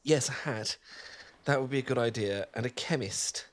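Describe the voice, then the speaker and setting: A sweet, awkward young British man, sunburned and flustered, tripping over his words in a romantic ASMR roleplay, as a man acts him out. He talks at a middling pitch and keeps undercutting himself with nervous, self-mocking asides. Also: normal speed, close to an everyday voice. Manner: stammering, self-deprecating, nervous laughs. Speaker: ASMR performer.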